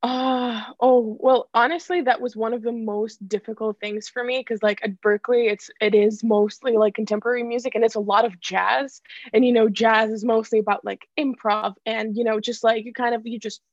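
A woman's voice: a little high, flat delivery, moderate pace, dry recording. Young Ukrainian-born woman, dull dry sound. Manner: chatty, many likes and restarts, laughs often. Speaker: songwriter guest. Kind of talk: casual interview answers.

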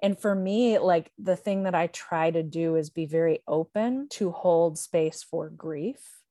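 Woman w a lowish voice, super dry sound, thinking out loud carefully, measured and reflective. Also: no room sound. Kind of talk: reflective personal conversation.

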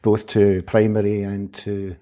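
A man, a humanitarian aid worker giving measured, thoughtful answers as an interview guest. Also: lowish voice, completely flat delivery, dark tone. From Scotland. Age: 50s. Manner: measured, thoughtful, qualifies his words. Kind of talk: reflective interview conversation.

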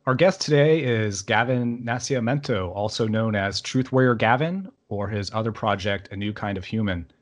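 A man hosting a podcast, reading a measured, welcoming introduction and then asking his guest a question. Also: lowish voice. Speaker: podcast host.

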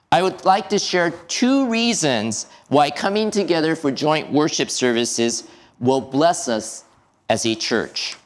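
A male pastor preaching slowly and deliberately. His pitch moves a lot as he speaks, lifting on key words and dropping at the end of each phrase. The delivery is calm and steady throughout. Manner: slow, measured preaching with lively pitch and drops at phrase ends. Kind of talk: sermon.

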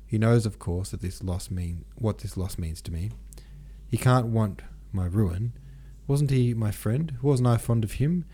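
Anguished young Russian count for an audiobook read by a man: questioning, soft-edged dark timbre. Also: lowish voice, unhurried, husky. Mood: anguished, bewildered. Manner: anguished, questioning inner monologue. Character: distraught young Russian count losing at cards. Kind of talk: audiobook character reading.

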